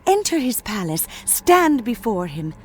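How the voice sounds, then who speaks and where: An actress playing a gentle, sorrowful goddess of spring in a video game, speaking in a stately, formal manner. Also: female voice, on the high side, big pitch swings, unhurried, slight background noise. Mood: urgent. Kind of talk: scripted game cutscene acting. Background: music.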